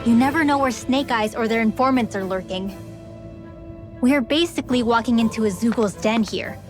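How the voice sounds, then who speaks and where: An actress playing a young rebel woman in a game, brisk and cautious, with game music underneath. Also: on the high side, natural voice. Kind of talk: video game voice acting.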